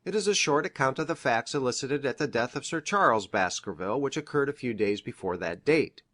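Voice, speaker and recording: An earnest country doctor reads aloud in a classic mystery drama. His medium-pitched voice is formal and grave, with measured storytelling phrasing. The sound is dry and free of echo. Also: lively intonation, a bit bright. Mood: brisk, earnest.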